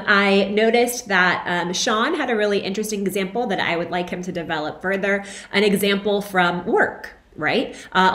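A young woman instructor, hoarse from being sick, giving recorded feedback to her students in a conversational way with frequent fillers, speaking into a webcam in a small room at home. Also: mid-range voice, a bit bright, reverberant. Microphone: webcam, video call framing.

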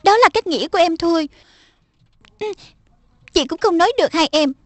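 A woman's voice: extremely high pitch, animated, normal speed, no room sound. A woman voicing an assertive, coaxing schoolgirl elder sister in a dubbed children's cartoon.

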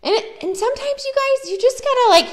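A woman's voice: very high-pitched, animated, fairly quick. An American woman, a preschool teacher, chatting enthusiastically and casually as she shows classroom activities.

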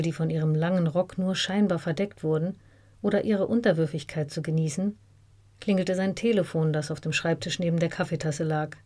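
A woman reading scripted narration in a calm, soft way. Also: on the low side.

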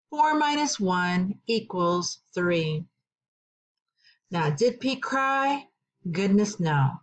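A middle-aged woman, a kindergarten teacher, reading a story aloud and teaching counting to young children in simple, deliberate phrases with questions. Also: medium pitch, highs cut off.

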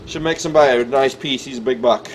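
A man's voice: medium pitch, fast talker, slight background noise. A man working as a taxidermist, talking casually with many fillers as he walks through an outdoor job. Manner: plainspoken, filler-heavy, thinking aloud. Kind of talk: casual on-camera walkthrough. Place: outdoors on concrete by a building.

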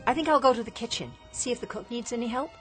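An actress playing an inventor's restless adult daughter in a radio drama, questioning insistently. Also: female voice, fairly high pitch, expressive, fast, close to an everyday voice. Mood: uneasy. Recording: music under the dialogue.

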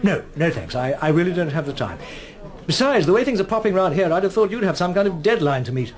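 An actor with a British accent playing a jovial middle-aged ex-army officer in a radio drama, bantering in florid phrases. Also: male voice, sits high, big pitch swings, quick pace. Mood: breezy. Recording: busy bar crowd behind the voice.

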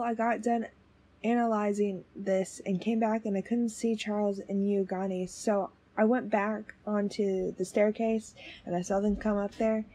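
A woman playing a plain-spoken young female companion to an investigator in an audio drama, with a husky voice. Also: mid-range voice, mellow, lightly acted.

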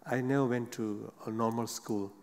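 A middle-aged man, a Buddhist monk on a stage panel, speaking halting non-native English with frequent pauses and fillers. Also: medium pitch, fairly even intonation. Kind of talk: unscripted panel talk. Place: seated on a large theater stage. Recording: long hall reverb.

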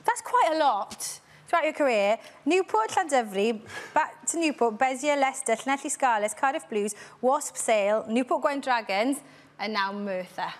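A Welsh woman in her thirties hosts a studio interview with a playful, teasing edge. Her voice sits a little high. She keeps the chat moving. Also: lively intonation, normal speed. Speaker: TV presenter. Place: TV studio with audience. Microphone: lapel.

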